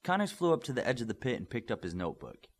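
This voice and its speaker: A man's voice: medium pitch, sing-song, very fast. A man reading a scripted superhero novel as an audiobook narrator.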